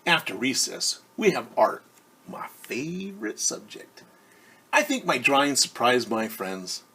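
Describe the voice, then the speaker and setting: Man reading a children's story, unhurried. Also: medium pitch, very animated, pushed and bright, slightly rough. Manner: stumbles over and corrects words when reading Spanish; wonders aloud and explains to young listeners. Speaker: teacher reading a picture book aloud. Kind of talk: bilingual story time with commentary.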